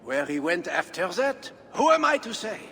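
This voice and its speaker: An actor playing a cheeky, evasive medieval tavern performer in a video game, with theatrical flourishes. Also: male voice, sing-song, normal speed, bright, forceful tone, full character voice. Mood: teasing, evasive. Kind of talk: dramatic video game acting. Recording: reverberant, faint background rumble.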